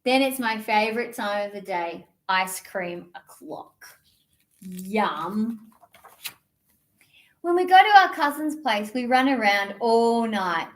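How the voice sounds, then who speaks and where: A woman's voice: medium pitch, expressive, slow pace, slight background noise. A woman presenting a children's storytime, reading a picture book in a playful, theatrical, sing-song way. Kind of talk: animated picture-book reading and action songs for young children.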